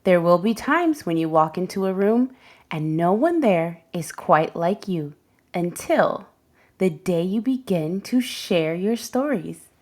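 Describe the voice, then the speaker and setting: A woman reading a children's picture book aloud as a storyteller, with gentle, deliberate phrasing for young listeners. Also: fairly low pitch, animated. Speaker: read-aloud host and storyteller.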